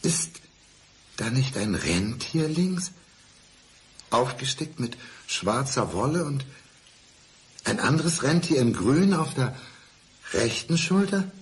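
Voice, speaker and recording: A man's voice: mid-range voice, sing-song, slow pace, pauses often, husky, heavily acted. An actor playing a brooding, deceitful, despairing man in a radio crime drama, speaking with intense deliberation. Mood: probing, intense. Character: brooding, deceitful man feigning blindness, despairing, country-dwelling.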